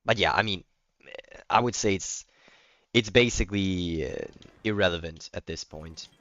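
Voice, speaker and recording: A man's voice: sits low, normal speed, fairly bright tone, no echo, highs cut off. A man with a German accent, a native German speaker, chatting casually as he livestreams a game and answers viewer comments. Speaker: livestreamer playing a video game. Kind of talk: casual chat, replying to stream comments.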